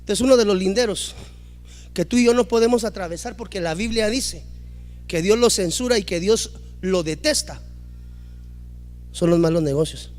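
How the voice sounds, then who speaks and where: High-voiced man preaching emphatically. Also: moderate pace, long pauses, faint music behind. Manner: emphatic, rising to loud exclamations, acting out quoted dialogue. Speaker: pastor. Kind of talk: preaching a sermon.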